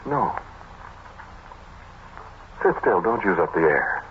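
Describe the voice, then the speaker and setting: An actor playing a haunted scientist who tells of terror in an ancient tomb, in brooding, halting 1940s radio horror style. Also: male voice, low voice, big pitch swings, full character voice. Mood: tense, wary. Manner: brooding, halting, dramatic delivery in short phrases. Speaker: narrator and lead actor. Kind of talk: 1940s radio horror acting, first-person narration. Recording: noisy, tinny old-time radio recording.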